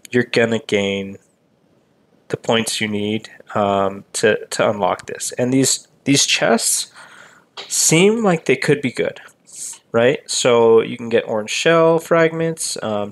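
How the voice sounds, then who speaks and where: A man with a slightly raspy voice walking through a mobile game event in casual voice-over commentary, relaxed and full of ums. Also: mid-range voice. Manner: relaxed, many ums, explains step by step.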